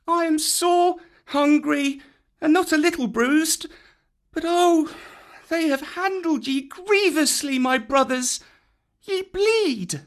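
A man's voice: extremely high pitch, slow, voice pushed up, exaggerated voice. A man reading a storybook aloud, voicing a small jungle boy who speaks in formal, earnest old-style phrases. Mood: anxious.

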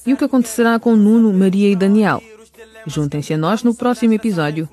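A man's voice: high voice, a little fast, a little mellow. A man narrating a radio drama as its announcer, reading a script.

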